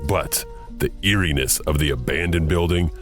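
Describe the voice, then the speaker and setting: A man narrating urban legends in a measured, ominous manner. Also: extremely low pitch, a bit bright, soft background music. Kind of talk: scripted horror narration.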